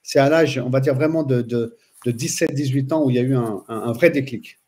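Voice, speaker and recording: A man's voice: mid-range voice, a little mellow. Hesitant man in his forties. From France. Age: 40s. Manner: hesitant, frequent pauses and fillers. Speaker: guest, Islamic studies teacher. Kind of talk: casual interview chat.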